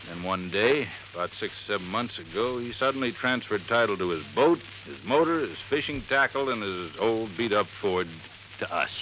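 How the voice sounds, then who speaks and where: A man's voice: lowish voice, lively intonation, normal speed, natural voice. An actor playing a folksy resort handyman in a 1950s radio drama, talking in a rambling, plainspoken drawl. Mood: earnest, folksy. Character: folksy middle-aged male desert resort handyman. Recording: muffled old radio recording with faint hiss.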